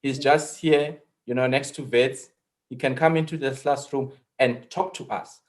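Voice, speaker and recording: A man with a South African accent, a native Setswana speaker, speaking as a history professor on a panel in a halting, earnest, emphatic way. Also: mid-range voice, fairly even intonation. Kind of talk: panel discussion.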